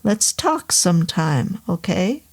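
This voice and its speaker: A woman's voice: lowish voice, big pitch swings, slow, a little mellow, heavily acted. A woman doing a joking old caretaker. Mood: easygoing. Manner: easygoing, joking. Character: congenial sixty-year-old male caretaker, joking.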